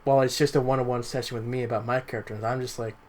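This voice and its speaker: A man chatting casually as a podcast co-host, halting and repeating words as he starts his sentences. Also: medium pitch, little pitch movement, darkish tone. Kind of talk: casual chat.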